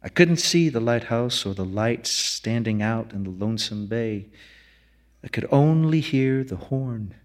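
A man reading a short story as dramatic literary narration, in a solemn, measured manner. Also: mid-range voice, animated.

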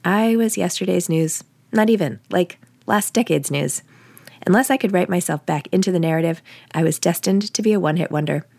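A woman's voice: fairly low pitch, a little fast, a little mellow, dry recording. A woman reading first-person fiction aloud in a wry, conversational way, like an audiobook. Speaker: author reading own novel.

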